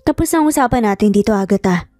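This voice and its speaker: A woman's voice: medium pitch, animated, fast talker, darkish tone, dry recording, clean studio sound, soft background music, lightly acted. A woman voicing a cruel, rich older mother in a story, stern, sarcastic and commanding. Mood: angry, threatening.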